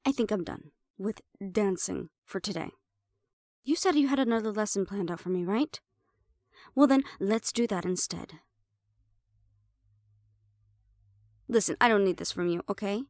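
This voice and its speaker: A woman's voice: mid-range voice, lively intonation, moderate pace, a little mellow, no room sound, natural voice. Sassy siren hybrid woman, close dry sound, acted. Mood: bitter, defensive. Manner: sassy, sweary, conversational, slipping into Spanish. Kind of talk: roleplay audio drama acting.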